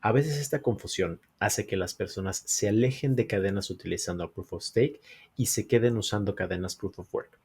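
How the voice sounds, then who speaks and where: Deep, husky-voiced man hosting. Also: normal speed, a little mellow. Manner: brief acknowledgements, friendly questions. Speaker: podcast host. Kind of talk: scripted intro and casual interview.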